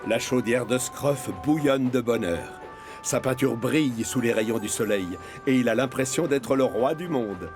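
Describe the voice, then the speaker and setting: A man narrating a children's cartoon in French, in an expressive storytelling manner. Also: medium pitch, measured pace. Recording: music bed behind the voice.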